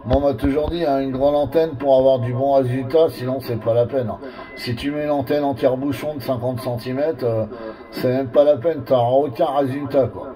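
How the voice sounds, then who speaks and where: A man's voice: medium pitch, steady tone, brisk, mellow. A man gives colloquial, explanatory advice in a casual two-way radio chat. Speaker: amateur radio operator. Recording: distant two-way radio sound.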